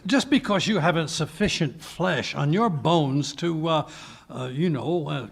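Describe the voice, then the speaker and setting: A man's voice: on the high side, measured pace. A man playing a bumbling, genial older doctor sidekick in a 1940s radio mystery style, hesitant and stammering. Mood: amiable, huffy. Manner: blustering, hesitant, stammering, throat-clearing. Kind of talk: 1940s radio mystery acting, live re-creation.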